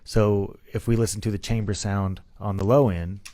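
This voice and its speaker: A man's voice: lowish voice, fairly even intonation, normal speed. A man explaining music production in a casual, plain-spoken tutorial style, as someone who plays and records himself.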